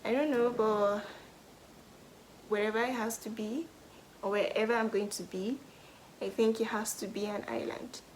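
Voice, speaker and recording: A young Nigerian woman answering get-to-know-me questions in a casual vlog, thoughtful, pausing often and sometimes dropping to a whisper. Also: fairly high pitch, steady tone, a little hiss or hum, poor audio. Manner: thoughtful, pauses with "hmm" and "um", drops to a soft whisper at times. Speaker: vlogger.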